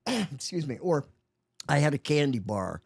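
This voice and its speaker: A man's voice: fairly high pitch, very animated, unhurried, vocal fry, no room sound, clean studio sound. A man in his eighties, a guest in a casual interview, talking with many restarts and chuckling often. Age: 80s.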